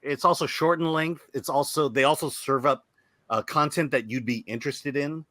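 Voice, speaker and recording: A man's voice: on the high side, fairly even intonation, normal speed, sharp tone, no echo. A man speaking as a panelist in a casual discussion, explaining a point.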